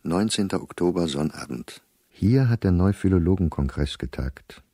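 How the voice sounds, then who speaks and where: Radio play: embittered, anxious diarist professor, measured delivery, dark mellow tone, close dry sound. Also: male voice, deep voice, animated, breathy edge, no echo, full character voice. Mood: grim, resigned. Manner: measured, weary, dropping to near-whisper at grim thoughts. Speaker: diarist narrator. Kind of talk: literary radio play monologue, diary reading.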